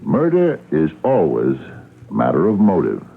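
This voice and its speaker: An actor playing a shrewd, patient police captain in a radio drama, gravelly and hesitant as he probes. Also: deep voice, huge pitch swings, exaggerated voice. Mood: quietly accusing. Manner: hesitant, deliberate, understated interrogator. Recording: noisy, narrow-band vintage radio drama recording.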